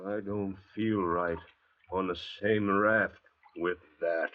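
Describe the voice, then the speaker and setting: An actor playing a surly, hot-tempered younger convict, blunt and sneering, in an old-time radio drama. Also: male voice, low-pitched, fairly even intonation, takes it slowly. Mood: disgusted, angry. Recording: thin, phone-like vintage radio recording.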